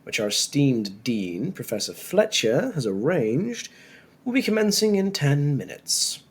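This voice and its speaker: A man playing a cursed tiger-man scholar in an audiobook, dry and world-weary, with formal, measured wording and a husky voice. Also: fairly high pitch, very animated, full character voice. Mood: grave, measured. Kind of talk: audiobook character dialogue.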